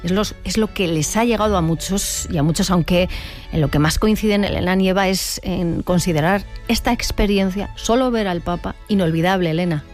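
A woman's voice: fairly low pitch, moderate pace, a little mellow. A woman presenting live news as anchor. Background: faint music.

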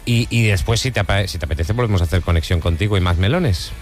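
A man's voice: deep voice, quick pace, soft background music. A man hosting a live radio show from the studio, bantering with his co-host and handing over to the news.

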